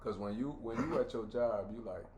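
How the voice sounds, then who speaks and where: Low-voiced American man in an echoey room. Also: fairly quick, darkish tone. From Africa, came from a war-torn country as a child. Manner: slangy, streetwise, conversational. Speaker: podcast co-host. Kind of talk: casual, candid chat. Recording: echoey room with faint noise.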